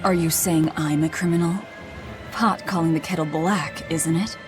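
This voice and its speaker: A grim young outlaw woman voiced by an actress in a video game, low-pitched and cold. She speaks in curt, deadpan phrases with faint music behind. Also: normal speed, lightly acted. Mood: dry, challenging.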